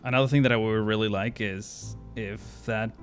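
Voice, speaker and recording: A man's voice: fairly low pitch, fairly even intonation, moderate pace, soft background music. A man from Argentina streaming a city-building game live, thinking aloud with many fillers and answering chat questions as he plays. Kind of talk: live stream commentary while playing.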